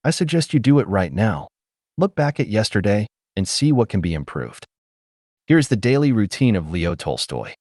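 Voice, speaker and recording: A man reading a self-help audiobook as scripted narration, measured and instructive, recorded close in a dry space. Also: on the low side, sing-song, a little mellow, no room sound.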